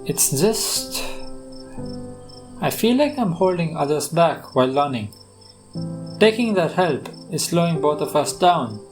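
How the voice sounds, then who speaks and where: A man's voice: fairly high pitch, very animated, unhurried, pauses often. A man playing a troubled young male student in an audio drama, speaking respectfully and hesitantly. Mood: worried, respectful. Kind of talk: scripted audio drama acting. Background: music.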